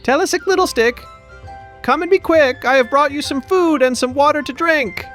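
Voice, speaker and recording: A man's voice: very high voice, moderate pace, a bit bright, soft background music, voice pushed up. A man voicing a caring mother who calls her little son in a singsong fairy tale manner. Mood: tender.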